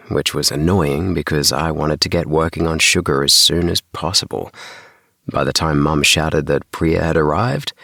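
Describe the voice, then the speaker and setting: A man's voice: rumbling low, gravelly, sharp tone, dry recording. A man narrating a children's sleep story as an audiobook, recorded close up in a small booth. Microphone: close mic in DIY recording booth.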